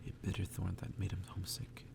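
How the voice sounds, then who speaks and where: Hushed, gravelly low-voiced man reading ASMR. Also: completely flat delivery, fast, background noise. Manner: hushed, often breathy and half-whispered. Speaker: ASMR reader. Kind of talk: soft ASMR reading of a visual novel. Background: music.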